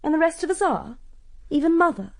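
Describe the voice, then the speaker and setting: Well-bred young Englishwoman: soft, mellow tone, cool frankness, quietly challenging, radio drama. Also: high-pitched, animated, fairly quick. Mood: frank, challenging. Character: candid, strong-willed upper-class young woman, quietly challenging.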